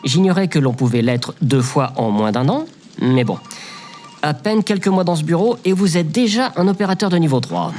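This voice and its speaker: A man's voice: a little high, expressive, moderate pace, darkish tone, soft background music, natural voice. A man playing a bitter, sarcastic space station operator in an audio comedy, with a dry, weary manner. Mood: resentful. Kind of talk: scripted audio comedy fiction acting.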